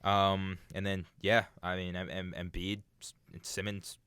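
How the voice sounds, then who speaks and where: Low-voiced young man, casual sports talk. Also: little pitch movement, unhurried, fairly bright tone, smooth, no echo. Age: young adult. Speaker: sports radio show co-host.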